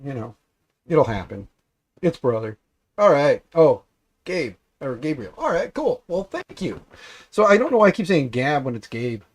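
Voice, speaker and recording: A man hosting a casual livestream, congested and raspier than usual, rambling and repeating himself as he talks to his chat. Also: fairly high pitch, sing-song, moderate pace. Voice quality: raspy, congested. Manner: mild swearing, offhand. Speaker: livestream host.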